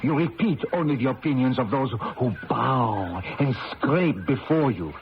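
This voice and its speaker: An actor playing a stern, scolding emperor who lectures with oratorical reproach in an old-time radio drama. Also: male voice, mid-range voice, animated, unhurried. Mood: stern, reproachful. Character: stern scolding Austrian emperor, disapproving older brother. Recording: dull lo-fi radio, faint noise.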